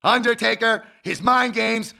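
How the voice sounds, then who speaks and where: A man playing a hot-tempered wrestling challenger in a video game, gravelly and confrontational, with emphatic phrasing. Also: extremely high pitch, measured pace, bright, forceful tone, exaggerated voice. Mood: angry, defiant. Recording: noisy game capture.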